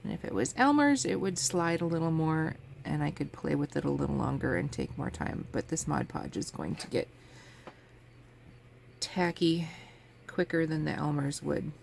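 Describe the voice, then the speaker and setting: A woman with a low, heavily gravelly voice talks through a miniature craft project in a relaxed, chatty way. She is a bit sniffly from a cold, and a fan hums faintly behind her. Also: normal speed. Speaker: crafting channel host. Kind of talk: casual craft tutorial. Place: home craft table with a fan running. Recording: faint fan noise in background.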